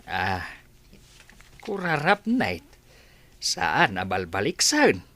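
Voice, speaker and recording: A man acts out an elderly village grandfather in a radio comedy drama. He speaks slowly with an Ilocano accent, in a low, bright voice that moves freely up and down as he gently teases. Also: wildly swooping pitch, heavily acted. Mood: gentle, reassuring.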